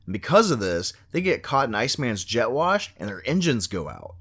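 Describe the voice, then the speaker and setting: Wry man with very lively pitch. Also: moderate pace, heavy background noise. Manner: wry, mocking asides. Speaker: comedy recap narrator. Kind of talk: sarcastic comedic film recap narration.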